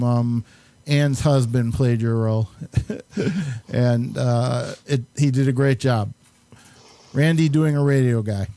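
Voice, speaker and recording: A man hosting a casual talk show, introducing guests in a halting, chatty way. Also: medium pitch, warm tone, no room sound. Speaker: show host.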